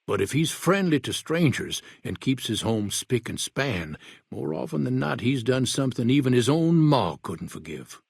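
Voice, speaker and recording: A man's voice: mid-range voice, big pitch swings, normal speed, gravelly, darkish tone, husky, no echo, clean studio sound, full character voice. A man voicing a paranoid, rambling older wasteland eccentric in a video game, with a folksy backwoods drawl and a suspicious, conspiratorial manner. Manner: suspicious, conspiratorial, jumps between whispers and outbursts.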